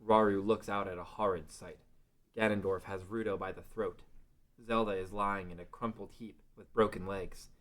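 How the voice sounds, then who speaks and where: A man's voice: low-pitched, steady tone, moderate pace, fairly bright tone. A man reading scripted dramatic fantasy narration as the narrator of a table read.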